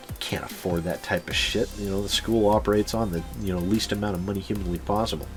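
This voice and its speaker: A man telling personal anecdotes casually as a channel host, swearing freely and chuckling as he goes. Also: on the low side. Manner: sweary, chuckles through his stories. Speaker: YouTube storyteller and channel host.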